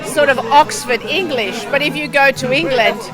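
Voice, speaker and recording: A woman's voice: high-pitched, moderate pace, crowd noise, low-quality recording. A woman with an Australian accent, a university language teacher, explaining at length in a casual interview.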